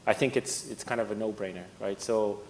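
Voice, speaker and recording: Portuguese man on an echoey stage. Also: a little fast. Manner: relaxed, conversational, laughs often. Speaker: panelist, fund partner. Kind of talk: conference panel discussion. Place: conference stage. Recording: echoey hall with faint rumble.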